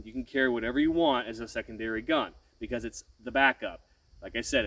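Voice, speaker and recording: Man with a cold, nasal and halting. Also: mid-range voice, recorded from a distance, slight background noise, low-quality recording. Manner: frequent "um" fillers and self-corrections. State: has a head cold. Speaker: channel host. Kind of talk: casual firearms explainer to camera.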